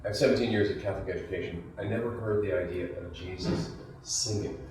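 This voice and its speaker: Very low-voiced man, distant and echoey. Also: quick pace, a little mellow. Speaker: audience member asking a question. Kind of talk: conversational audience question. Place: reception room, in the audience. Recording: very echoey room, far from mic.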